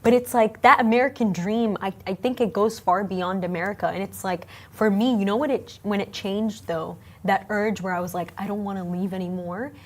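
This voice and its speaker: Chatty young woman, lively studio co-host. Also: medium pitch, moderate pace, few pauses. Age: 20s. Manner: chatty, animated, many fillers like "you know". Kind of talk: casual studio chat.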